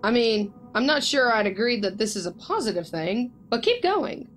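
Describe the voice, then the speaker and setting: A woman's voice: a little high, very animated, normal speed, soft background music. A woman playing a guarded, lovelorn young bride-to-be in an audio drama. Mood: guarded curiosity.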